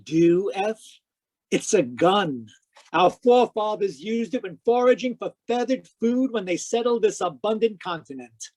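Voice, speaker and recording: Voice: medium pitch, animated, a little slow, a little mellow. A cocky hard-boiled private eye played by an actor from a 1940s radio show. He teases and wisecracks, turning every phrase into glib, florid patter. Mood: glib, brisk. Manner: glib, florid wordplay, teasing. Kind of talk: 1940s-50s radio detective acting.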